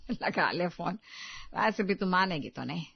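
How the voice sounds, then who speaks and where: A woman voicing a wry, exasperated but affectionate older mother-in-law in an animated family story, teasing and grumbling. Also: fairly low pitch, slow pace, vocal fry, husky, highs cut off, exaggerated voice. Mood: easygoing. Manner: teasing, dry-witted grumbling.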